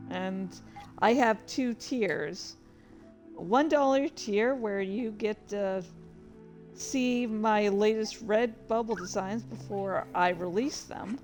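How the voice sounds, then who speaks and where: A woman's voice: medium pitch, takes it slowly, a little mellow, soft background music. A woman hosting a livestream, chatting casually and rambling to her viewers in a playful, easily sidetracked way. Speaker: livestream host and streamer.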